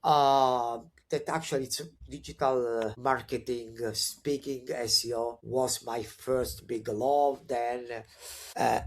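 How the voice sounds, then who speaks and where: A man in his forties with an Italian accent, talking as a podcast guest in a relaxed, conversational way. His pitch sits in an ordinary middle range while he fills his sentences with hesitations and restarts, and he brightens up when excited. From Italy. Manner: hesitant, many fillers and restarts; upbeat and animated when excited. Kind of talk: conversational interview storytelling.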